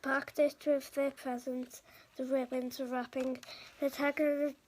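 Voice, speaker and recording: High-voiced woman reading haltingly, slowly. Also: little pitch movement, darkish tone. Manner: halting, stumbling reader who repeats words. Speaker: podcast host reading a storybook. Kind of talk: read-aloud storybook narration.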